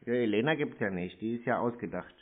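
Through a narrow, phone-like channel comes a man with a medium-pitched voice. He chats casually and keeps his answers short and laconic, as a YouTuber on a call. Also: fast.

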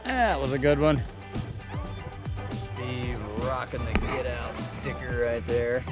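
A man in his thirties chats with easy enthusiasm while he paddles down a creek. His voice is picked up outdoors by an action camera on the kayak. Loud music runs over the recording. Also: medium pitch, slow. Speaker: vlogger. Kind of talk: casual outdoor vlog narration. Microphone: action camera mounted on kayak.

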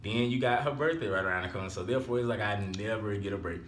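A twenty-one-year-old man with a drawl talks in a relaxed, rambling way, leaning on "so therefore" between thoughts. His voice sits a little low. The sound is echoey, recorded on a camera mic in a small room at home. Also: fast, slight background noise. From Alabama, US. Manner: laid-back, rambling, repeats "so therefore". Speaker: vlogger. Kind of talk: casual chat.

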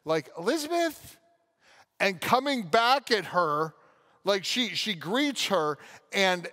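A man in his thirties preaches from a church stage, talking through a headset mic in a fairly high voice. He is animated and casual, and now and then he bursts into loud acted-out quotes. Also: slow pace. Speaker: pastor. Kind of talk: sermon.

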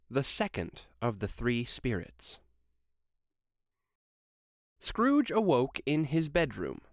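A man narrating a classic story aloud in an expressive storytelling style. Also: a little high, no echo, studio quality.

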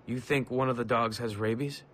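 A man's voice: mid-range voice, steady tone, moderate pace, lightly acted. An actor playing a self-assured young male adventurer in a video game, speaking in a terse, casual, wry way. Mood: businesslike. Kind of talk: video game quest dialogue.

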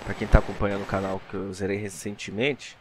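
A man's voice: on the low side, little pitch movement, quick pace, background noise. A young man with a Brazilian Portuguese accent, giving casual let's play commentary on a headset mic at home. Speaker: gaming YouTuber.

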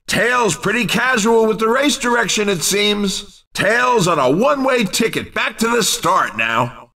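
High, animated race commentator. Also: male voice, distant mic, full character voice. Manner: wry, showy sportscaster delivery. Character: excitable, cheeky male race commentator, teasing drivers. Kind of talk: video game race commentary.

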